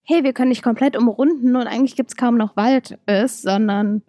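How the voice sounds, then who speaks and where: A woman's voice: fairly high pitch, brisk, dark tone, no room sound, studio quality. A young woman with a Berlin accent co-hosting a podcast, talking casually with frequent fillers, restarts and laughs. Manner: chatty, many fillers and restarts, laughs often. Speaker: podcast co-host.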